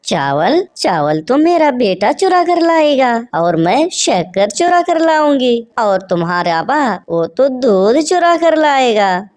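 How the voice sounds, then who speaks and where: A woman's voice: fairly high pitch, big pitch swings, takes it slowly, few pauses, darkish tone. A woman playing a sly, doting mother crow who thieves, in a children's cartoon. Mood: scheming. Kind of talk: animated children's story voice acting.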